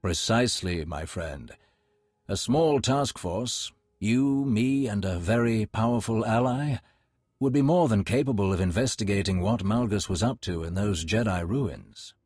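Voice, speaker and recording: Husky, low, suave imperial schemer. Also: male voice, a little slow, no room sound, studio quality. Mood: sly confidence. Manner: smooth, formal, flattering, theatrical. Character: suave, courteous, scheming male imperial intelligence agent. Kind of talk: video game voice acting.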